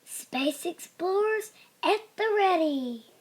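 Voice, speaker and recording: Storybook girl, acted by a woman: young, cheerful, commanding, high voice, measured delivery. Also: expressive, exaggerated voice. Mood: excited, calling out. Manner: confident, commanding, playful. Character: bold cheerful young girl, full of ideas. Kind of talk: children's storybook character voice.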